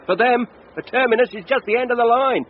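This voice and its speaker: A man's voice: very high-pitched, fast talker. A man playing a chatty, chuckling veteran British train driver in a radio drama, wry and blunt. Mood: wry, nostalgic. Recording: dull, noisy radio recording.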